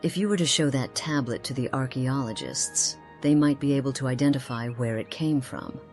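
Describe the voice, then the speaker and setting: A woman's voice: extremely low pitch, moderate pace, a little mellow, faint music behind. A woman playing an earnest quest companion in a video game, speaking in a formal, purposeful way. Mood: urgent. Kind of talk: video game character dialogue.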